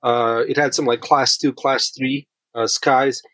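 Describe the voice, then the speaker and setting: A man's voice: mid-range voice, steady tone, unhurried, a bit bright, no room sound. A man from rural Bosnia telling his story as a podcast guest, reflective and feeling his way through sentences. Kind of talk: conversational interview storytelling.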